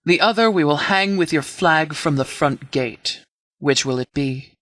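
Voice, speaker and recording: Man acting a stern, dry-sounding, higher-voiced sheriff. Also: fairly bright tone, no echo. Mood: cold threat. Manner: measured, commanding, formal. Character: stern, authoritative sheriff, shrewd negotiator. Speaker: video game voice actor. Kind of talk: scripted game dialogue.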